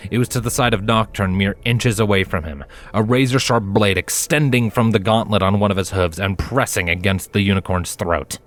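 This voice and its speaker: A man reading fan fiction aloud as a dramatic, scripted story narrator. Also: on the low side. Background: background music.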